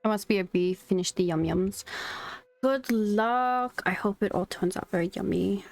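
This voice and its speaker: A woman's voice: mid-range voice, moderate pace, vocal fry, no room sound. A young woman game streamer chatting casually and reacting in a playful, giggly manner. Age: young adult. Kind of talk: casual livestream chat and reactions. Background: faint game music.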